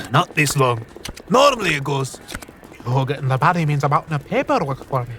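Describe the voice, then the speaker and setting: Wry gambler mentor, swooping delivery. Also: male voice, mid-range voice, big pitch swings, normal speed, a bit bright, slight background noise. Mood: wry, instructive. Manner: wry, colloquial, instructive. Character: seasoned, wry gambler and wary mentor Gus. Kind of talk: fantasy audio drama acting.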